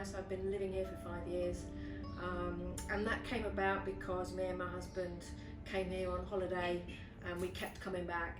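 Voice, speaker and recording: A woman from England with an English accent, talking casually in an interview. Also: fairly low pitch. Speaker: artisan business co-founder. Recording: roomy, distant mic, music behind.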